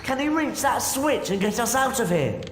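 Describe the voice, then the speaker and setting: A man's voice: very high-pitched, animated, moderate pace. A man voicing an earnest, grateful teenage schoolboy wizard in a video game. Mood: eager. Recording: echoey hall, music behind.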